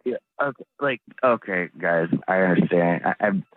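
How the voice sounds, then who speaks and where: Male radio caller, low-pitched, halting and hedging, slightly lo-fi call audio. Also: animated, no room sound. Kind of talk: hesitant casual phone talk. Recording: dull, lo-fi phone call.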